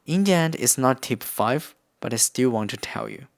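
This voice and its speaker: A man's voice: medium pitch, lively intonation, moderate pace, vocal fry. Earnest man, native Korean speaker. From China–North Korea border region. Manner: earnest, plain, non-native phrasing with restarts. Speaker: English-learning YouTuber. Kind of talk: instructional talk to camera. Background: guitar music.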